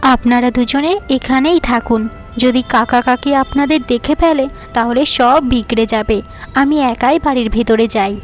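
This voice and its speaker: Cartoon casting: clever young niece, played by a woman, earnest and persuasive, high pitch, light music. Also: a little slow, lightly acted.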